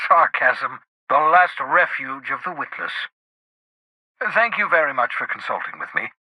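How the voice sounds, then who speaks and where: An actor voicing an eccentric, erudite older renegade scientist in a video game, jittery and sardonic, with theatrical, wordy, precise delivery. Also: male voice, mid-range voice, pushed and bright, husky, dry recording, heavily acted, rough recording. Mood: sardonic, scheming. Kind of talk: video game character dialogue.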